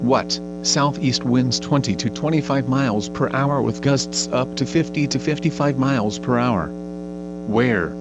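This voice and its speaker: A synthetic man's voice reads an automated weather bulletin as a radio announcer, in a formal, measured, impersonal way. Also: mid-range voice. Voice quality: synthetic text-to-speech voice. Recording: dull lo-fi radio sound with mains hum.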